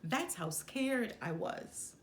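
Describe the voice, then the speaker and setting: Female children's storybook reader, lively and varied pitch, measured dramatic phrasing, roomy sound. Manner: deliberate, dramatic phrasing with pauses. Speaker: storytime host reading a children's book aloud. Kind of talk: expressive first-person children's storybook narration. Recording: roomy, echoing room.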